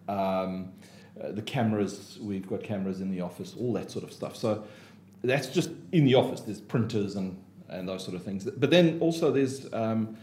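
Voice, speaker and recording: A man with a South African accent, a guest speaker and trainer, talking in a relaxed, chatty way with frequent ums. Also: on the low side. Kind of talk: casual podcast chat. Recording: echoey room, faint noise.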